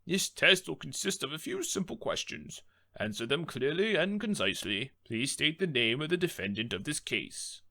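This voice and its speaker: A man voicing a stern but patient older courtroom judge in a game script, formal and measured. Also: a little high, sing-song, gravelly, sharp tone, heavily acted.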